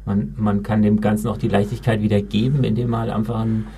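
Low, gravelly man with a mild stutter. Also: flat delivery, quick pace, warm tone. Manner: mild stutter, occasional repeated words. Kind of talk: casual conversation.

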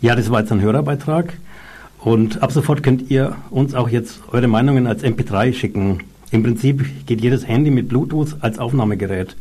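A man hosting a radio show, narrating and announcing in a measured, informative way. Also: mid-range voice, mellow.

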